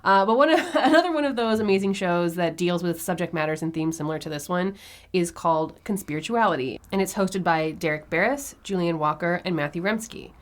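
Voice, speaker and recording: Nasal woman podcaster with vocal fry. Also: a little fast. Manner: animated, lots of pitch peaks and valleys. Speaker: podcast co-host. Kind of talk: casual chat.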